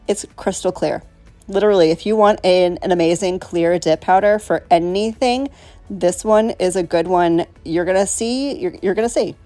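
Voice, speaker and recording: A woman's voice: medium pitch, dark tone, no room sound. A middle-aged woman, congested with a cold, chatting casually to viewers in a home tutorial voice-over and poking fun at herself. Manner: chatty, self-deprecating, talks to viewers as y'all. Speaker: nail tutorial host. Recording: dry close voice-over with faint music.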